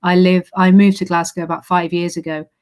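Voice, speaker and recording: A woman's voice: fairly low pitch, level tone, fairly quick, a little mellow, smooth. A woman with an English accent from England, giving an informal presentation to prospective students as a university recruitment manager, explaining in a friendly way with frequent "um" fillers, on a video call.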